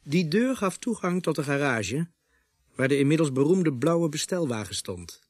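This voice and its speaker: An actor playing a policeman who narrates his investigation in a radio play, in measured storytelling with a husky voice. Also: fairly high pitch, sing-song, darkish tone, no room sound, studio quality. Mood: measured, descriptive. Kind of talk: scripted radio drama narration.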